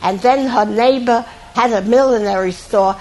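A woman's voice: on the high side, normal speed, a little mellow, a little hiss or hum, rough recording. An older woman retelling a song's story with amusement. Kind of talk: personal recollection.

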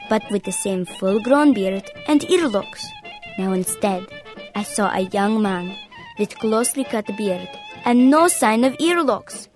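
A woman reading a memoir passage aloud with expression. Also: medium pitch. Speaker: reader.

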